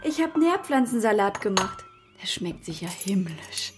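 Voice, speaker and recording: A woman's voice: medium pitch, very animated, measured pace, loud music behind the voice. A woman playing a gushing, blissed-out mother doting on her daughter in a children's radio play, dreamy and affectionate. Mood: blissful, adoring.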